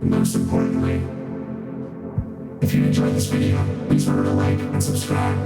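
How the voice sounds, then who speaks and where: A man's voice: moderate pace, over music. A man speaking through a vocoder as a friendly synthesizer robot, with a synthetic, chord-toned voice in a casual demo. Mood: upbeat, demonstrative. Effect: vocoder robot filter.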